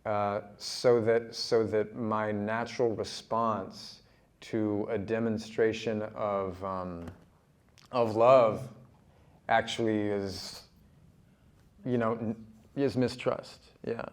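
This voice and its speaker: A man facilitating a group discussion who muses haltingly, with many restarts and fillers. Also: fairly low pitch, flat delivery. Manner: halting, full of restarts when musing.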